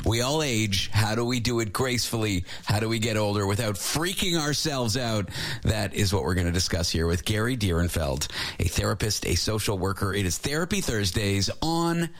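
A man in his late thirties hosts a radio talk show. His pitch leaps around as he jokes and pokes fun at himself. He sounds lively, playful and quick to laugh. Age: 30s. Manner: joking, animated, self-mocking. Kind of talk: casual radio chat.

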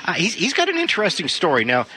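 Bright, chatty sports radio man. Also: high voice, wildly swooping pitch, fast talker. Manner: chatty, jokey, many restarts. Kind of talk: casual sports talk.

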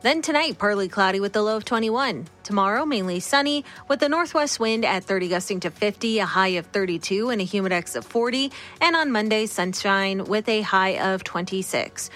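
A woman's voice: medium pitch, lively intonation, fairly quick, hardly any pauses, a bit bright, faint music behind. A woman reading scripted news and weather for radio. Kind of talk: scripted broadcast newsreading.

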